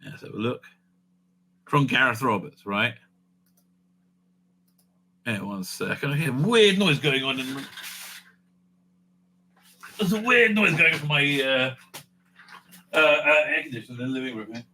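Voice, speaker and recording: A man's voice: medium pitch, measured pace, pauses often, pushed and bright, a little hiss or hum, rough recording. A man giving casual, off-the-cuff, opinionated reviews in a rambling way, often tagging his phrases with a questioning "right?". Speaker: host of a livestream review show. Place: indoors at home.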